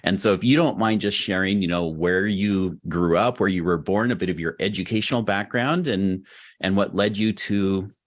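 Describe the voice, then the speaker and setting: A man's voice: sits low, normal speed. A middle-aged man hosting a podcast interview, upbeat and enthusiastic, laughing often. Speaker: podcast host.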